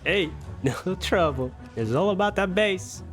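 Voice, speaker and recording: A man's voice: sits high, animated, unhurried, soft background music. A man whose first language is Dutch, hosting a reaction video in casual, chatty English, thinking aloud and laughing often.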